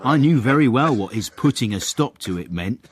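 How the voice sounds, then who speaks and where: An actor playing a sly, knowing manservant who narrates a period radio drama with wry, mock-grand flourishes. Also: male voice, medium pitch, lively intonation, moderate pace, husky. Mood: amused storytelling.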